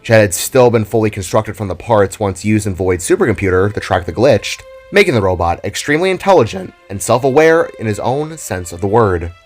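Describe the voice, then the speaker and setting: Expressive low-voiced male story narrator over music. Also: fairly quick, hardly any pauses. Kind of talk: scripted dramatic story narration. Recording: music under the voice. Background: background music.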